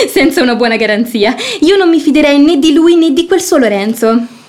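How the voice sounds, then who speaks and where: An actress playing a sharp-tongued, outspoken young maidservant in a theatrical radio play, with a sardonic, pointed delivery. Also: female voice, sits high, animated, moderate pace. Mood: skeptical, insinuating.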